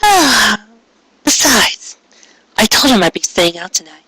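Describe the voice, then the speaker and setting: Storybook role: man playing a shy young pony mare, raised bright pitch, sweet, hesitant, stammering. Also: very animated, unhurried, slightly rough, dry recording, full character voice. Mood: tired, worried.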